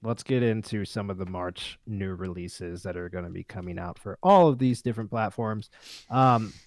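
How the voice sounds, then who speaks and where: A man's voice: on the low side, moderate pace, no room sound, studio quality. A man hosting a casual podcast, chatting with the group and reading through a list. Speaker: podcast host.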